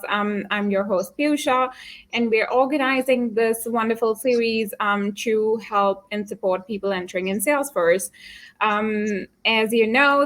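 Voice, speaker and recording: A woman's voice: on the high side, a bit bright. A woman hosting a live online career series, upbeat and dropping frequent "um" fillers, speaking over a video call. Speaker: host.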